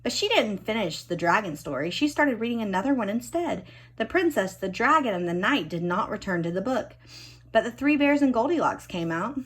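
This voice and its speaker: A woman reading a children's storybook expressively, with dramatic emphasis and drawn-out key words. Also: medium pitch, very animated, husky. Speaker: storybook reader.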